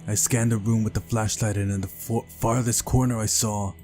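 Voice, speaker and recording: A man's voice: fairly low pitch, flat delivery, moderate pace, mellow, soft background music. A man reading a story aloud as dramatic narration, as in a visual novel playthrough.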